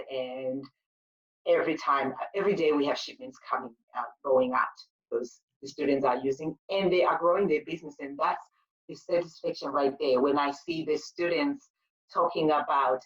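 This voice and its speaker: A woman's voice: low-pitched, steady tone, moderate pace, distant mic, dull audio. A woman from Zimbabwe, a spa owner, answering interview questions in an earnest storytelling way with frequent fillers. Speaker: guest, spa owner and sugar paste maker.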